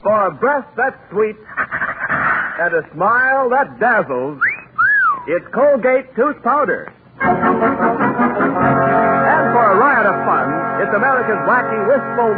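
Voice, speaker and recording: A man's voice: extremely high pitch, expressive, measured pace, long pauses, over loud music. A man working as a radio announcer in a showy, theatrical 1940s style. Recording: tinny 1940s radio recording.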